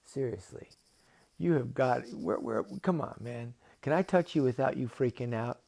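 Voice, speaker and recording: A man's voice: medium pitch, moderate pace, vocal fry, a little mellow. A man voicing a brash, impatient talking octopus in a storybook tale, cajoling in casual, slangy, exasperated speech.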